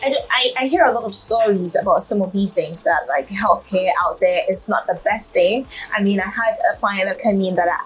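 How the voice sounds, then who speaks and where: A woman's voice: medium pitch, brisk, hardly any pauses, husky, poor audio. A woman hosting an interview show, eager and effusive, with frequent affirming interjections. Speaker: interview host. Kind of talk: conversational interview.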